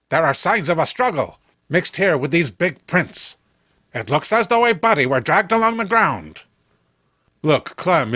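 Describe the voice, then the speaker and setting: A plain-spoken deputy from a horror comic, put on by a male narrator. He is grave and rustic, reassuring but firm. The tone is bright and forceful, with very little room sound. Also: fairly high pitch, dry recording, studio quality, exaggerated voice.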